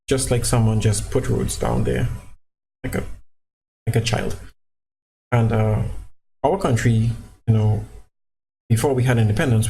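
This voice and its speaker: A man's voice: medium pitch, dark tone, rough recording. A man with a Trinidadian accent giving casual, rambling commentary over gameplay, full of fillers and restarts, recorded on a cheap microphone at home. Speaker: gaming channel creator. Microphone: cheap low-quality microphone.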